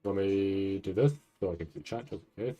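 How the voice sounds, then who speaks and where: A man's voice: sits low, brisk, warm tone, highs cut off, soft background music. A man hosting a casual livestream, rambling and thinking aloud in loose, sometimes profane language. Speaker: livestream host reacting to anime event. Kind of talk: casual live stream chat.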